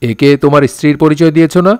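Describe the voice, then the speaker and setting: A man's voice: fairly high pitch, steady tone, rapid-fire, darkish tone, dry recording, natural voice. A man playing a stern young man who confronts his friend with sarcastic, insistent questioning in a Bengali audio drama. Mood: sarcastic, probing.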